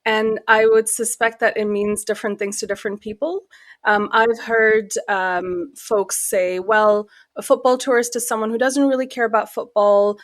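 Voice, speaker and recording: A woman's voice: on the high side, flat delivery. Middle-aged woman with an American accent. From Pakistan. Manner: articulate, measured, with frequent "um". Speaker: guest, PhD researcher. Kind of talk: podcast interview.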